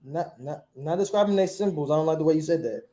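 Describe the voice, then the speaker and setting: A man teaching a Bible class, quizzing his students in relaxed slang. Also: fairly high pitch, quick pace. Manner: quizzing, questioning. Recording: echoey room.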